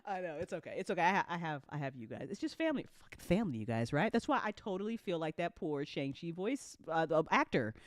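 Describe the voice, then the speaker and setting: Very lively, chatty woman host. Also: fairly low pitch, quick pace, hardly any pauses, no room sound, clean studio sound. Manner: animated storytelling with acted-out quotes and casual swearing. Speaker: show writer and voice actor hosting an after-show. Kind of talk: casual chat. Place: online group voice call.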